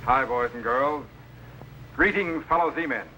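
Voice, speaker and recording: A man playing a brisk, authoritative scientist captain in 1950s television science fiction, instructing in a crisp and kindly way. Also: medium pitch, sharp tone. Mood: friendly, presentational. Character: commanding, brisk male scientist captain of a time machine. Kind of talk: 1950s television sci-fi acting. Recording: slightly noisy old 1950s TV soundtrack.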